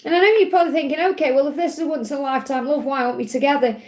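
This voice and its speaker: A woman with a slightly croaky voice, giving gentle spiritual advice on a live stream and often checking in with "okay?". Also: sits high, fairly even intonation, racing. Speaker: spiritual reader answering live chat questions. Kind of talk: live-stream Q&A, casual and reassuring. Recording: echoey room.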